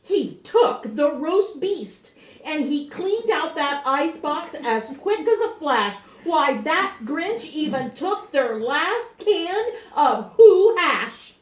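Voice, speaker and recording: A woman's voice: high-pitched, sing-song, takes it slowly. An older woman narrating a rhyming children's story expressively, as a grandmother reading aloud. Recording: echoey room, far from the mic.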